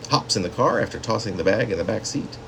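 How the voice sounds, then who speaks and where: Low-voiced man reading with animated swings. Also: quick pace, vocal fry, background noise. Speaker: poet reading own work. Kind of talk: literary story reading.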